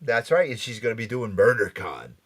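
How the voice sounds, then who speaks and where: A man's voice: mid-range voice, sing-song, fairly quick. A man hosting a pop culture radio show, chatting casually and promoting events in a playful, teasing way.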